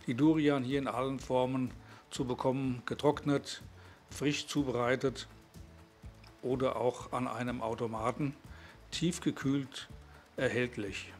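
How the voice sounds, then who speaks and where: A man's voice: mid-range voice, steady tone, unhurried, faint music behind. A man, presenting and narrating a vlog. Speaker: vlogger.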